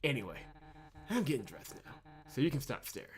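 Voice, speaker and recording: A man's voice: mid-range voice, very animated, a little fast, slight background noise, natural voice. A man voicing a brash, foul-mouthed young woman fighter from a visual novel, blunt and mocking. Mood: blunt, coaxing. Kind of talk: visual novel character reading.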